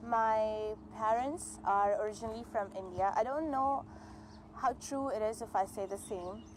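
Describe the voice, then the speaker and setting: A woman's voice: fairly high pitch, fairly even intonation, moderate pace, a little hiss or hum. Earnest woman in her twenties. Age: 20s. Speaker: interviewee. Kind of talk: casual interview talk.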